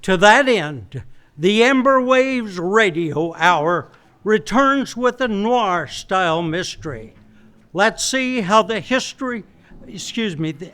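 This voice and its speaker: A man gives a scripted introduction to a performance. His voice is high for a man and he speaks slowly, in a formal manner. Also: lively intonation, a bit bright. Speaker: presenter introducing a live performance.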